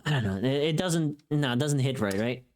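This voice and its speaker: Livestreamer, mid-range pitch, vocally tired, informal and rambling chat delivery. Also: animated, fairly quick, darkish tone. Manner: rambling, informal, mildly sweary. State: tired voice from long recording.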